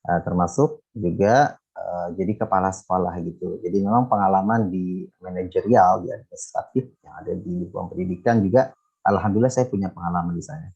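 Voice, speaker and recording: A man's voice: low-pitched, a little mellow, husky, highs cut off. A middle-aged man, an educator chatting casually and explaining his work in an interview. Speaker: teacher, education manager and author being interviewed. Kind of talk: casual explanatory chat with many fillers.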